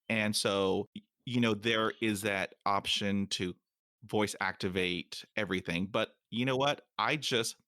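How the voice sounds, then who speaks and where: A man hosting a podcast interview who talks slowly and deliberately and stutters now and then. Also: lowish voice, sharp tone, no echo, clean studio sound. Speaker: podcast host.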